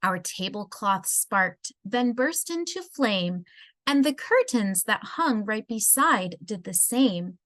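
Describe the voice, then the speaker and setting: A woman reading a rhyming children's story aloud in an expressive storybook style. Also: medium pitch, slow, dry recording. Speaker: storybook reader.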